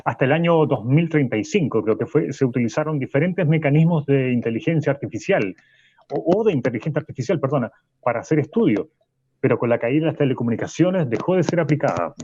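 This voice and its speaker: A man's voice: mid-range voice, animated, quick pace, darkish tone, husky. Man doing a professor on a muffled line, trips over words. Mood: explaining. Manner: stumbling, self-correcting. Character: male professor, opinionated guest. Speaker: professor guest. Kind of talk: radio drama acting an interview.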